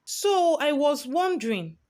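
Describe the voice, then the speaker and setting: A high-pitched senior schoolgirl played by a woman narrator rules the hostel in an audio story. She is a domineering, sly bully who speaks in commands and taunts, swinging between bark and syrup. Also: sing-song, slow pace, darkish tone, heavily acted. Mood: sly, coaxing. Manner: barking, commanding, turning sickly sweet.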